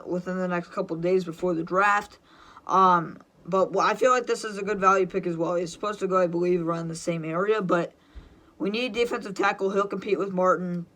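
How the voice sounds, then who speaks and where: This is a sports fan hosting their own football draft show, with a bright, forward voice. The delivery is opinionated and enthusiastic, in the style of unscripted pick-by-pick commentary. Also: mid-range voice.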